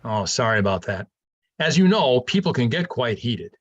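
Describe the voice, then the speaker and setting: An actor playing a kindly older professor in a staged play, patient and wry, explaining things conversationally. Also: male voice, medium pitch, sing-song, normal speed, muffled recording. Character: kindly older male professor, gentle, fond, wry mentor. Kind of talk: staged reading of a science fiction play.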